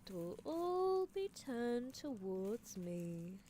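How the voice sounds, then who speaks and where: A woman acts out a teasing, obsessive mad-scientist girl in an ASMR roleplay. The voice sits fairly high and swings animatedly in pitch. The manner is coaxing, clinical and theatrically possessive, over faint background noise. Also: sing-song, very slow, warm tone, exaggerated voice. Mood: smug, nonchalant.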